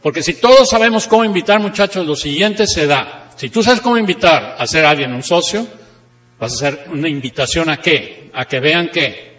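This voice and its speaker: A man with a northern Mexican accent speaks as a seminar trainer in a reverberant hall. His voice sits fairly high, and he drives points home with rhetorical questions and emphatic repetition. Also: a bit bright. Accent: northern Mexican Spanish. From Monterrey, Mexico. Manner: rhetorical questions, repeats key phrases with emphasis. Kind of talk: motivational seminar talk with anecdotes. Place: seminar room, speaking to an audience. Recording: echoey hall with long reverb.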